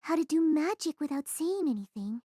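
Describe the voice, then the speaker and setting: A very high, childlike voice as a small apprentice mage boy. He is eager, innocent and full of questions, recorded close and dry for an audio drama. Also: expressive, moderate pace, mellow, no room sound. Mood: curious, eager. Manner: wide-eyed, eager, simple childlike phrasing. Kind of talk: audio drama character acting.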